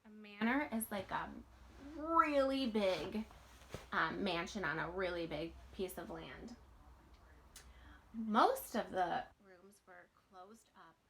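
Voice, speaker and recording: A woman in her thirties reads a children's storybook aloud expressively, pausing deliberately between phrases. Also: mid-range voice, expressive. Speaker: teacher reading a storybook aloud.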